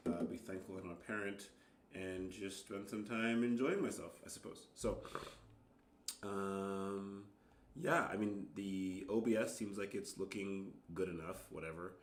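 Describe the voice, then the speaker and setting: A man chatting casually and self-deprecatingly as an amateur worship musician on a livestream, in a halting, rambling, apologetic way. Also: sits low, a little hiss or hum. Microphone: microphone shared with guitar. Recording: roomy, with guitar music.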